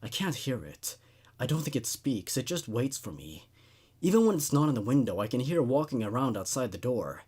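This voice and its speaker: A man performs a frightened patient in a horror storybook. He is husky and halting, worn by sleeplessness. His anxious delivery rises and falls widely. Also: medium pitch, sing-song, darkish tone. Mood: fearful.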